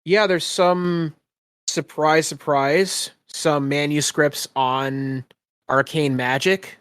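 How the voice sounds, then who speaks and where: A man with a slightly high voice runs a tabletop fantasy game as game master. He improvises his narration piece by piece, in a halting way. The sound is close and dry. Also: fairly even intonation, fairly bright tone, clear, resonant tone, dry recording.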